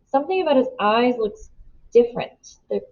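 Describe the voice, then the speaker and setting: A woman's voice: a little high, lively intonation, moderate pace, darkish tone, lo-fi sound. Woman reading a children's story aloud. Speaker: storybook reader. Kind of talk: expressive children's audiobook narration.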